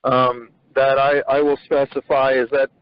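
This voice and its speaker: Man hosting a phone conference, halting delivery with restarts and fillers, mid-range voice, muffled line. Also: mid-range voice, little pitch movement, clean tone, dry recording. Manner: halting, repeats words, many fillers. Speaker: host of an agricultural education conference call. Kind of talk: leading a conference call. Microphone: phone on a conference call. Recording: muffled conference-call audio.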